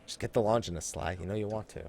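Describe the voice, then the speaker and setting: A man hosting a casual gaming podcast, chatting in an animated, teasing way with a co-host. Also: fairly low pitch, quick pace.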